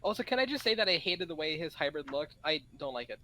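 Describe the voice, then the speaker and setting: A man's voice: fairly high pitch, lively intonation, quick pace, crowd noise, low-quality recording. A man co-hosting a podcast in casual fan banter, with other voices chattering behind him. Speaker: podcast co-host.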